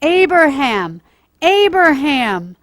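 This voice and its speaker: A woman's voice: high-pitched, big pitch swings, slow pace, fairly bright tone, dry recording, studio quality, voice pushed up, exaggerated voice. A woman voicing a commanding, benevolent angel from a children's Bible story, proclaiming emphatically. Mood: urgent, commanding.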